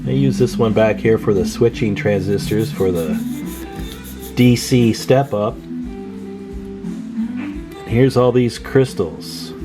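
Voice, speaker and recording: A man with a low voice talks over clearly audible plucked guitar music. He presents like a hobbyist at the bench, casual and folksy, thinking out loud as he walks through what is in front of him. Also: big pitch swings, slow, long pauses. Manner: musing, thinking aloud, folksy. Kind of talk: casual hands-on repair walkthrough.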